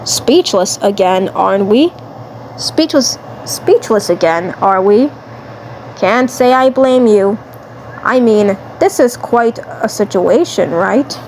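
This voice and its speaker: Woman playing an obsessive, slow-talking male alien commander. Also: medium pitch, expressive, a little mellow, slight background noise, low-quality recording. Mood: gloating, possessive. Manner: gloating, repeats phrases.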